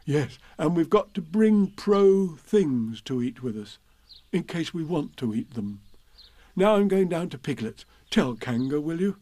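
A man's voice: a little high, big pitch swings, measured pace, dark tone, dry recording. A man voicing an eager, simple, good-natured toy bear, naive and earnest, in a children's storybook reading. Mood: friendly, muddled.

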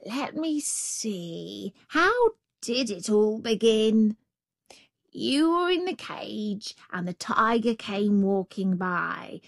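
A woman's voice: mid-range voice, very animated, slow pace, vocal fry, no room sound, studio quality, full character voice. A woman voicing a male storybook jackal who plays dim, fretful and hesitant, with an obsequious manner. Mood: flustered. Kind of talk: storybook character voice.

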